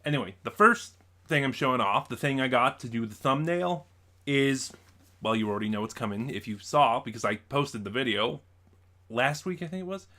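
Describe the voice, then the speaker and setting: A man's voice: mid-range voice, animated, moderate pace, sharp tone. A man talking casually as a vlogger in an unboxing video, rambling and over-explaining as he goes. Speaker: YouTube vlogger, collector and reviewer.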